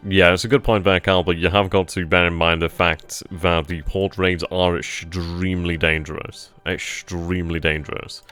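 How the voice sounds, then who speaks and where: Deep-voiced man thinking aloud. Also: level tone, moderate pace, hardly any pauses, fairly bright tone, clear, resonant tone, faint music behind. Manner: thinks aloud, answers chat questions casually. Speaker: gaming channel host. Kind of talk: live strategy game commentary.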